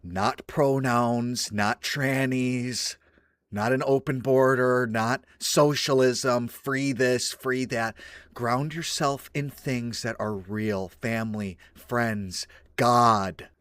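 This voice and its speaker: A man's voice: medium pitch, steady tone, slow pace, a bit bright, clear, resonant tone, no echo. A man commenting on a video in a blunt, scornful, ranting way. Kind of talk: reaction commentary.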